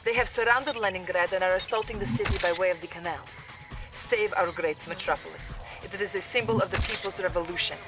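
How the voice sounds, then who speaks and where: An actress playing a dutiful, earnest Soviet information officer with a Russian accent, giving video game mission briefings. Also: female voice, fairly low pitch, a little fast, over music, natural voice. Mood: formal, resolute. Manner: crisp, formal, patriotic.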